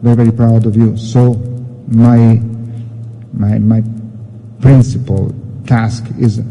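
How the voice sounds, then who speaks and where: Slow, mellow Italian commander in a hall. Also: male voice, mid-range voice, little pitch movement, creaky, soft background music. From Italy. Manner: halting, repeats words. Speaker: military commander giving an address. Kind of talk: formal ceremonial speech in halting English. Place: large indoor ceremony hall. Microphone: podium microphone. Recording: reverberant hall sound.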